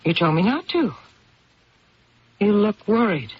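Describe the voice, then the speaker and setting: Lively, devoted wife, muffled radio. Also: female voice, medium pitch, unhurried, husky, full character voice. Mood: loving concern. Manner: affectionate, coaxing. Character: devoted, ambitious, attentive wife of a politician. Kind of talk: 1970s radio drama acting. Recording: dull, faintly noisy 1970s radio broadcast.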